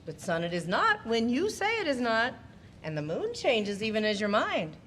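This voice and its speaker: Woman playing a spirited, animated wife. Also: a little high, moderate pace. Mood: exaggerated submission. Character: spirited wife, contrary then mockingly obedient. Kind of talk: Shakespearean comedy audio play acting. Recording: noticeable background noise.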